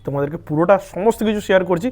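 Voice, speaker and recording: A man's voice: high-pitched, very fast, a little mellow, no room sound. A man giving a casual product review as a vlogger, explaining things straight to viewers and mixing in English words. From India. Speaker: vlogger, host.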